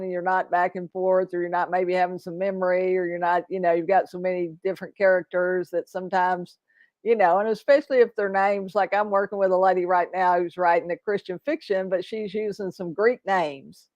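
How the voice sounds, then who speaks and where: A woman's voice: lowish voice, fairly even intonation, fairly quick, a little mellow, dry recording. Chatty woman host on a dry, dull call. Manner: chatty, affirming, long run-on sentences. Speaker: podcast host. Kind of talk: casual interview chat.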